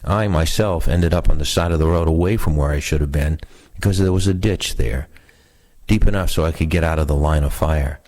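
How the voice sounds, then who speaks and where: Male reader for oral history narration, low-pitched voice. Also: brisk, a little mellow. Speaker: reader of veterans' recollections. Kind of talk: reading oral history aloud, scripted narration.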